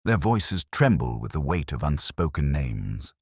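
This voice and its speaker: A man with an extremely low voice narrates a scripted detective story in a measured, literary manner. His intonation moves widely despite the brooding delivery. The sound is dry and close, with very little room on it. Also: very animated, gravelly, a little mellow, breathy edge, no room sound.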